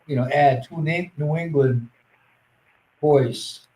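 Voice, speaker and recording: A man's voice: on the high side, a little mellow, echoey room, slight background noise. A man in casual podcast banter who rambles and jokes, often restarting his sentences. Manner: rambling, joking, halting restarts.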